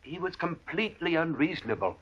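An actor playing an earnest, agitated, pious small-town deacon in an old radio western. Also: male voice, fairly high pitch, animated, fairly quick, a bit bright, breathy edge. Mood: troubled. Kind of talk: 1940s-50s radio western acting. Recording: dull vintage radio recording.

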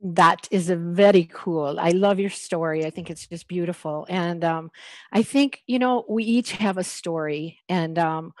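A middle-aged woman hosting an interview over a video call with a headset, warm and affirming. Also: fairly low pitch, unhurried, no echo. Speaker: host. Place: small village home indoors.

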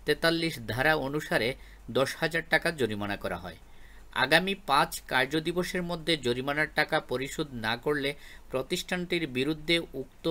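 A man reading a scripted news report as a voice-over in a formal, even newsreader manner. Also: fairly high pitch. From Bangladesh. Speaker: news narrator.

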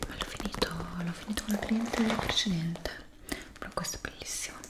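Whispering young woman, soft ASMR roleplay. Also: medium pitch. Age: 20s. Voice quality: breathy whisper. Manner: gentle, unhurried, speaking as if to a customer. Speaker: ASMR creator playing a stationery shop assistant.

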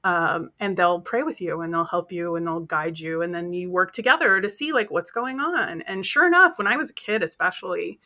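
A woman in her thirties talks casually from a room at home, using an earbud mic on a video call. Her voice is bright and forward. She tells stories in a lively way and acts out the people she quotes. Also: fairly low pitch, moderate pace, few pauses. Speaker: podcast co-host. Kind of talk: casual chat. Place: home room with bookshelves and poster. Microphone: earbud headset on video call.

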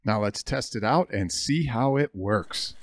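Lower-pitched American man explaining DIY work. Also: expressive, unhurried, no echo. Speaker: hobbyist video host.

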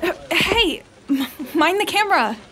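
High-voiced droll camera operator. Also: female voice, animated, a little slow, sharp tone, full character voice. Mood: droll, friendly. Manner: casual, droll.

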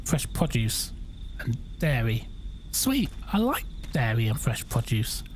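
A young man with a croaky voice, chatting and joking through a horror game as a gaming YouTuber. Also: mid-range voice, big pitch swings, slow pace, a little hiss or hum. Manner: chatty, jokey, reacting aloud. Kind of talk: casual let's-play commentary.

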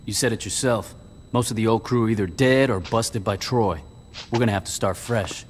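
A man's voice: fairly low pitch, normal speed, breathy edge, in a large hall. A man voicing a tough, blunt, commanding street gang boss in a video game, with terse, profane street talk. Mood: dry, businesslike. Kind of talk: video game cutscene acting.

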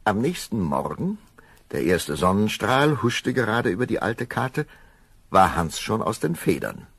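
A man narrating a children's fairy tale as an expressive storyteller, savoring the words with wry relish. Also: lowish voice, a little slow, vocal fry, breathy edge, no room sound, faint music behind, exaggerated voice. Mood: storytelling.

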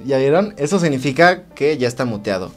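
A man's voice: medium pitch, lively intonation, brisk, faint music behind. A man with a Mexican accent giving a casual, conversational product review to viewers, explaining things informally as a tech vlog host.

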